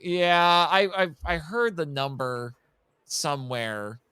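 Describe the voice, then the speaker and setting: A man's voice: on the high side, lively intonation, takes it slowly, bright, forceful tone, clean tone, dry recording. A man talking casually and enthusiastically about films as a podcast guest, with a jokey streak. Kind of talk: casual film discussion.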